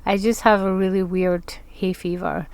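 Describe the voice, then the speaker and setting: A woman's voice: lowish voice, level tone, normal speed, vocal fry, darkish tone, clean tone. A woman with a congested voice from hay fever, chatting her way through a step-by-step crafting tutorial. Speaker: craft vlogger.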